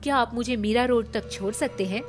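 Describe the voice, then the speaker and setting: Woman playing a high-voiced vengeful witch in disguise. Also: brisk, soft background music, natural voice. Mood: polite pleading. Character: vengeful female witch spirit posing as stranded beauty. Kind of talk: dramatised horror story dialogue.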